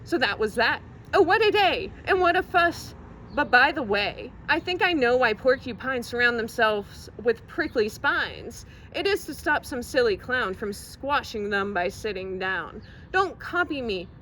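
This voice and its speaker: A woman reading a children's poem aloud in a theatrical, expressive storytelling manner, recorded outdoors. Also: on the high side, measured pace, rough recording. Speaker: library reader presenting a poem. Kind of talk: animated children's poetry reading. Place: outdoors outside a public library. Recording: outdoor recording with faint traffic noise.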